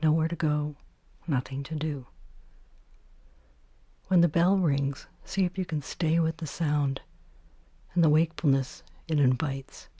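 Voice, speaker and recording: A woman guiding a meditation, giving gentle, unhurried instructions in short phrases with pauses between them. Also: low-pitched, slightly rough, dry recording. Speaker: meditation guide. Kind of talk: guided meditation narration.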